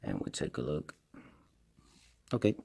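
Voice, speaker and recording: Low, slow-talking man with Spanish accent. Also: big pitch swings, creaky, mellow, a little hiss or hum. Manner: halting, hesitant, repeats himself. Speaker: tech reviewer, video host. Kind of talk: casual unscripted product review.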